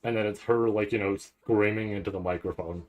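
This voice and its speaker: Male streamer, lowish voice, casual rambling delivery, thinks out loud in fragments and keeps checking in with the listener. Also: steady tone, a little fast, highs cut off. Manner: thinks aloud in fragments, frequently checking with "right?". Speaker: streamer hosting a reaction stream. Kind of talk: casual, rambling livestream chat.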